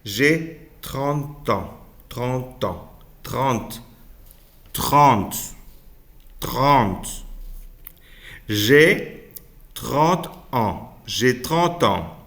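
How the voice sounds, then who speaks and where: A male teacher drilling short phrases at a very slow, deliberate pace. He says each model sentence carefully and repeats it, then stops to correct pronunciation. His pitch sits at an ordinary middle level. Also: animated, creaky, a bit bright. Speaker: French teacher.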